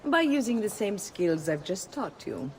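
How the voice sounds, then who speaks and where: Casting: composed, worldly Italian madam and mentor in a game, medium pitch, Italian accent, hall reverb. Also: female voice, very animated, measured pace, darkish tone, a little hiss or hum. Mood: instructive. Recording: long reverb, faint music.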